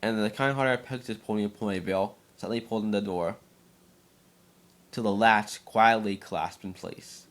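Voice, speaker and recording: Lowish-voiced man, amateur story reader. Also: steady tone, normal speed. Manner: stumbles over words. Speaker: narrator. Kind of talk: dramatic story reading by an amateur.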